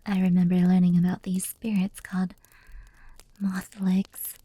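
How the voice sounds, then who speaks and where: A woman playing a gentle fox-demon yokai in an ASMR roleplay, telling stories slowly and intimately in a soft, breathy whisper. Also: on the low side, completely flat delivery, dry recording. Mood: hushed storytelling. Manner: slow, intimate, unhurried.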